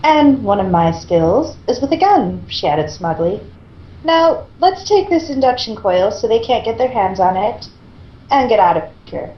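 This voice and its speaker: A woman's voice: on the high side, sing-song, measured pace, dull audio. A woman doing amateur fan fiction narration and casual chat as part of a group reading. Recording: roomy echo, faint background noise.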